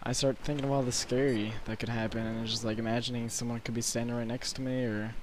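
An eighteen-year-old man with a hoarse, sore-throated voice, rambling through casual late-night gameplay commentary from his bedroom with lots of fillers. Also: mid-range voice, little pitch movement, slight background noise. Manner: rambling, halting with many fillers. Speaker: gaming commentator.

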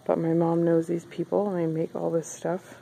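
A woman's voice: on the low side, little pitch movement, normal speed, gravelly, mellow, breathy edge. A woman casually vlogging a walk-through of a craft bazaar, chatty and upbeat with lots of fillers. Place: indoor craft bazaar hall.

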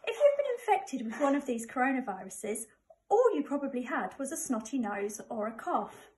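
Middle-aged English woman, notably high voice, primary school storytime read-aloud. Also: sing-song, moderate pace, a little mellow, slight background noise. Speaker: primary school staff member reading to pupils. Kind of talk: children's book read aloud.

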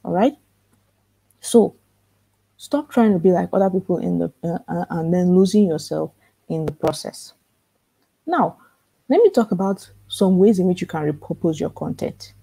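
Soft-spoken woman coaching a live workshop. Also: on the low side, animated, normal speed, vocal fry, pauses often, slightly rough, low-quality recording. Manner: earnest, repetitive coaching, asks rhetorical questions. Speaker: workshop host teaching authors. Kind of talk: live online workshop lecture. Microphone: computer mic in a live webinar.

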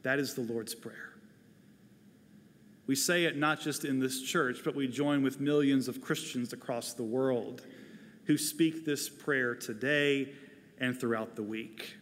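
A man in his forties delivering a prepared sermon as a pastor, earnest and deliberate, speaking into a lapel mic in a large church. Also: mid-range voice, fairly even intonation, fairly bright tone, a little hiss or hum. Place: large church sanctuary, indoors, at a pulpit. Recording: long hall reverb of a large sanctuary.

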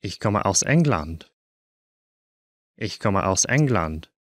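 A man teaching a language course, saying each short phrase twice in slow, careful drills. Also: deep voice, very animated, no room sound, clean studio sound.

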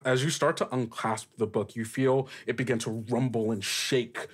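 A man working as game master, improvising tabletop narration in a halting way as he searches for words. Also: mid-range voice, fairly even intonation, breathy edge. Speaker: game master, podcast host.

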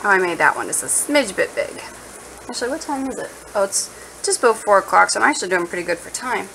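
A woman's voice: medium pitch, big pitch swings, fast, creaky, pushed and bright. A woman chatting casually and playfully as she cooks at home, thinking aloud in an informal way. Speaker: home cooking vlogger. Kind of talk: casual chat while cooking. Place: home kitchen, indoors. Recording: noisy kitchen with food sizzling.

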